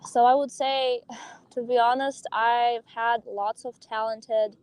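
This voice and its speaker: Young woman, higher voice. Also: fairly even intonation, slow, darkish tone, clear, resonant tone, poor audio. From Russia. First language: Russian. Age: young adult. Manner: open and chatty, with light laughs and filler ums. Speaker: guest, professional pickleball player. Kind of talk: podcast interview.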